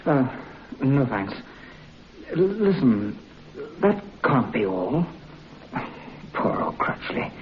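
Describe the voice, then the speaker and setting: An anxious, incredulous man stammers with wide swings in pitch. It is dramatic radio horror acting, heard on a muffled, slightly noisy recording. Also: sing-song, slow pace, pauses often. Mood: shaken, insistent. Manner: stammering, agitated. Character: anxious, incredulous male listener to a horror tale.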